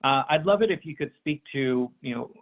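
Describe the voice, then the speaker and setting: A man moderating a business webinar panel. He pauses often between phrases. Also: mid-range voice, expressive. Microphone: remote call audio. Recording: tinny phone-like line.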